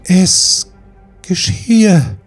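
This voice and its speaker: A man voicing a timid yet resolute young mermaid girl in a fairy-tale audiobook, with a raised, breathy, trembling delivery. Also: sits high, drawn-out words, soft background music, voice pushed up, full character voice. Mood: fearful, resolute.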